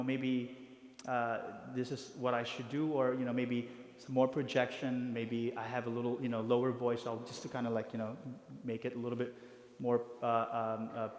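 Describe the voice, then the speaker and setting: A priest briefing parish volunteers, talking haltingly with many fillers and restarts. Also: male voice, medium pitch, darkish tone. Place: church hall. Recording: reverberant church hall.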